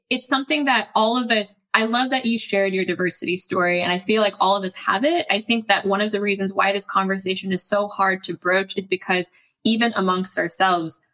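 A woman's voice: medium pitch, pushed and bright. A woman from the United States, a podcast guest, talking in an earnest, reflective way with light laughs. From Los Angeles, United States. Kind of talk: casual interview conversation.

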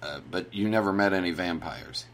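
A man's voice: low voice, moderate pace, fairly bright tone, slight background noise. A man hosting a podcast and interviewing a guest in a casual, friendly manner. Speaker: podcast host.

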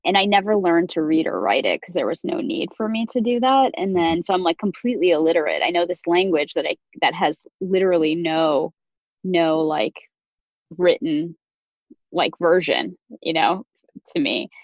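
A woman with a lowish voice speaks as a guest on a casual podcast, chatty and full of "like" fillers. Her audio is dull and lo-fi, with a dry, close sound. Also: normal speed, no room sound. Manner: chatty, many "like" fillers, laughs easily. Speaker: company CEO, podcast guest. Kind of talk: casual conversational interview.

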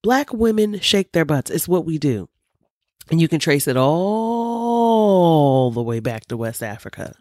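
A woman in her forties with a deep voice, hosting a podcast in an emphatic, animated, opinionated monologue full of casual swearing. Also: a little slow, dry recording, clean studio sound. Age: 40s. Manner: emphatic and animated, swears freely, preachy asides. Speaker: podcast host.

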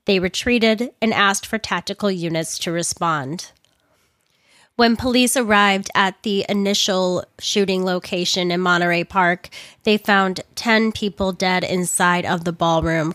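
A woman, somewhat hoarse from losing her voice, narrating a crime story with earnest personal commentary. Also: on the low side, steady tone, a little slow, a bit bright, dry recording, studio quality.

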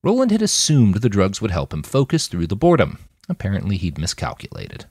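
A man reading a scripted story aloud as an expressive audiobook-style narrator. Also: fairly low pitch, fairly quick, slightly rough, no echo, studio quality. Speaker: author reading own fiction podcast.

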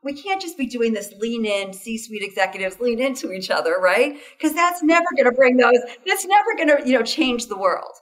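A woman's voice: fairly high pitch, animated, normal speed, few pauses, recorded from a distance. A woman being interviewed as a foundation founder, talking enthusiastically and often tagging sentences with "right?" and "you know". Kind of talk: interview conversation.

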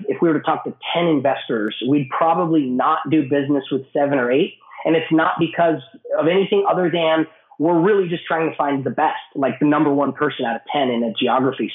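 Hoarse raspy guy with a cold, kinda high voice, sounds like a phone call in an echoey room. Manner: explanatory, with frequent restarts and fillers. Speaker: guest, business owner. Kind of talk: interview answers.

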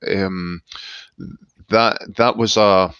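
A middle-aged Scottish man from Glasgow speaks as a guest with a measured, reflective manner. His voice sits a little low and the remote line sounds dull and dry, with no room around it. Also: steady tone, fairly bright tone, clear, resonant tone, dry recording. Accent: Glaswegian Scottish. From Scotland. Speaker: seminary professor and guest. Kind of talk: reflective interview talk. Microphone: remote call line.

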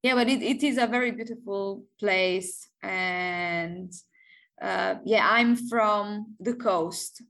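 A woman in her thirties from southern Italy with an Italian accent, speaking English in a casual interview and pausing often to find words. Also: mid-range voice, a bit bright. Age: 30s. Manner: hesitant, many pauses and fillers, light laughs. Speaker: guest.

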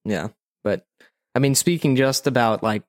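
A man's voice: mid-range voice, moderate pace, dry recording, studio quality. An American man co-hosting a podcast in casual, informal conversation, with some mild swearing. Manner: informal, mildly profane. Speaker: podcast co-host. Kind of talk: casual chat.

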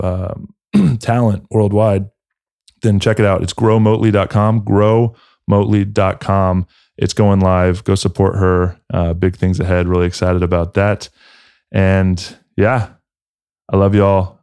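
A man's voice: deep voice, darkish tone, smooth, no room sound, clean studio sound. A man hosting a podcast, talking casually in an earnest, affectionate and laid-back way. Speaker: podcast host. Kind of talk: casual podcast chat and announcements.

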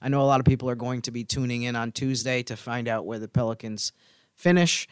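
A man co-hosting a casual sports podcast, explaining details in a measured way. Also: medium pitch, clear, resonant tone, no echo, clean studio sound. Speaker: podcast co-host.

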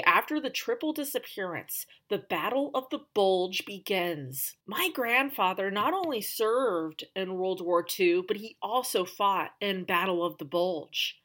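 A woman's voice: medium pitch, bright, forceful tone. A woman hosting a podcast, telling a story in a conversational scripted narration with emphatic, dramatic phrasing and chatty asides. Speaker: podcast host.

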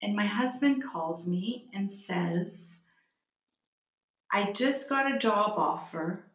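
A woman from Canada in her forties talks as a guest in an interview, thinking aloud and restarting her sentences. Her voice sits at a middle pitch. The recording carries a noticeable roomy echo. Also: animated, a bit bright. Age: 40s. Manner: reflective, pausing and restarting phrases. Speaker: guest, magazine editor. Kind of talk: interview conversation.

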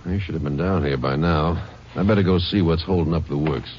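An actor playing an easygoing small-town sheriff with a folksy way of talking, in a 1950s radio drama. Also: male voice, very deep voice, a little fast, slight background noise. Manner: folksy drawl. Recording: dull old radio broadcast.

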